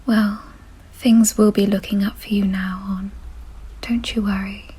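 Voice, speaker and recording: Woman acting a slow, whispering, gentle vampire mistress. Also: mid-range voice, flat delivery. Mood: soothing sympathy. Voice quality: breathy, husky whisper. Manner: soothing, slow, genteel, softly possessive. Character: gentle, refined, doting vampire mistress of a mansion. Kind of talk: whispered ASMR roleplay.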